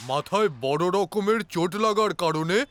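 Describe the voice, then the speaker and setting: A man's voice: sits high, moderate pace, lightly acted. A man voicing an explanatory doctor in a children's cartoon, with a theatrical delivery. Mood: matter-of-fact. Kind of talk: Bengali children's cartoon dubbing. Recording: music behind the voice.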